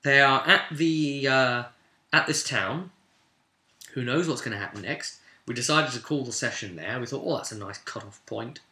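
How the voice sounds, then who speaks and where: Bright, forceful man in an echoey room. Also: mid-range voice, normal speed. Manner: animated storyteller, voicing characters' lines and addressing listeners directly. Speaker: game master and host of a tabletop roleplay recap series. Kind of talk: informal, spoken recap of a tabletop fantasy game session. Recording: echoey, roomy sound.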